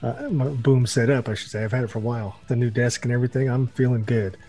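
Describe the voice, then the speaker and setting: An American man co-hosting a radio show on a boom mic, explaining things quickly and restarting often. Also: mellow. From United States. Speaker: podcast co-host. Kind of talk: casual radio show chat.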